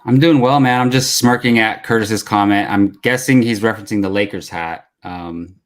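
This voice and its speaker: A man's voice: on the low side, normal speed. A man chatting casually as a movie show panelist, easygoing and informal. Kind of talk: casual chat.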